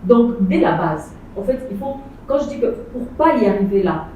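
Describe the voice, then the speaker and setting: A woman's voice: medium pitch, animated, moderate pace, dark tone. Woman arguing from a distance, echoey. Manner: insistent, repeats phrases, mixes in English and Lingala words. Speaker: panel guest. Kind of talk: casual debate on a street panel. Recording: echoey, distant mic, faint noise.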